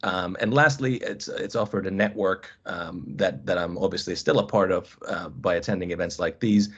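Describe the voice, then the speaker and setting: A man's voice: deep voice, moderate pace, husky. A man, giving a webinar presentation as a guest coach, hesitant and often restarting his phrases. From Finland. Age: 30s. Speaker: college squash coach, guest speaker. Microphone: video call. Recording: dull lo-fi video call audio.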